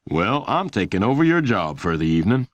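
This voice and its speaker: A man's voice: on the low side, sing-song, brisk, dry recording, highs cut off. A man voicing a kindly, matter-of-fact policeman in a children's audiobook. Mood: friendly, matter-of-fact. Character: easygoing, kindly adult policeman.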